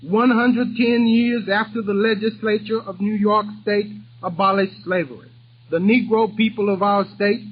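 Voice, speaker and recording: Man with a high voice, earnest and declamatory speech, dull muffled old recording with faint hiss. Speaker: speaker at a political address. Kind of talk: formal 1930s political speech.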